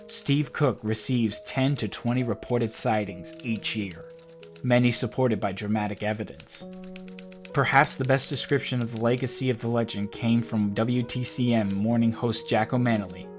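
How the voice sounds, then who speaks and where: A male narrator reading a scripted account in an even, documentary manner. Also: medium pitch, moderate pace, over music.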